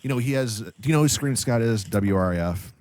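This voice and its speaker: A man's voice: medium pitch, animated, quick pace, a little mellow, dry recording. A man in casual podcast conversation, explaining things emphatically and repeating himself for effect. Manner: explanatory, emphatic repetition, profane. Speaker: podcast guest with radio background.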